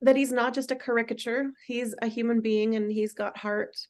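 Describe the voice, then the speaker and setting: A woman's voice: fairly high pitch, fairly even intonation, moderate pace, darkish tone. A woman chatting casually in a group discussion about a TV series.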